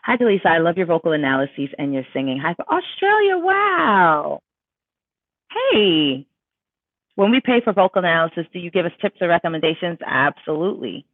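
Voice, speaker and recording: A woman's voice: fairly low pitch, very animated, normal speed. Lady voice coach answering chat questions live, chatty and emphatic, thin phone-ish sound with no room echo. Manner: chatty and emphatic, many fillers and self-corrections. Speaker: vocal coach. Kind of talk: casual livestream Q&A, reading viewer questions aloud. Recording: tinny dry phone-like sound.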